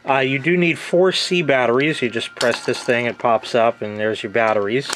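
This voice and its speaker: A man's voice: medium pitch, normal speed, a bit bright, slight background noise. A middle-aged American man giving a casual hands-on product review at home, plain-spoken and offhand, into a handheld camera's mic. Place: small room at home, laundry area. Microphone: handheld camera mic, close behind the camera.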